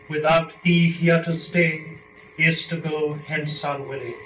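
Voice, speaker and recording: A man performing solemn epic verse as archangel and Eve, in a measured, declamatory dramatic reading. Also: a little high, a bit bright. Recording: roomy, distant, muffled, faint noise.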